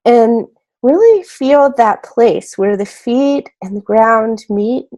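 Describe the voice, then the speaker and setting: Woman doing a guided meditation, really slow n soft-edged mellow voice, gentle, lots of pauses. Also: on the high side, lo-fi sound. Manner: gentle, unhurried, with long pauses between short phrases.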